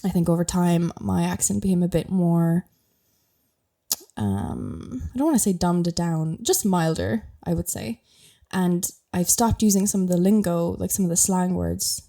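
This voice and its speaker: A woman's voice: lowish voice, measured pace, dark tone. A young Irish woman with a mild Irish accent hosting a podcast, chatting casually while sick with a cough and laughing often as she explains things. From Ireland. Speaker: podcast host.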